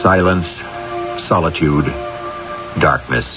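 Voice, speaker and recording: An American man hosting a radio mystery, giving solemn, measured, ominous scripted narration. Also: extremely low pitch. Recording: dull old radio recording, loud music behind. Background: loud music.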